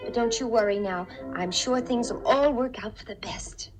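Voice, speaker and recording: An actress performs a kind-hearted young working woman in a vintage radio play. Her voice sits high and she speaks with effusive, earnest reassurance. Music runs behind the old recording. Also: moderate pace, lightly acted. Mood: earnest, apologetic. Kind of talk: 1950s radio drama acting.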